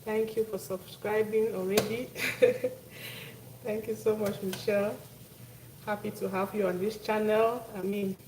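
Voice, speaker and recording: Woman, Cameroonian accent, mid-pitched voice; casual live cooking host who repeats herself as she talks to viewers, clear background noise. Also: recorded from a distance. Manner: repeats phrases, thanks and greets viewers by name.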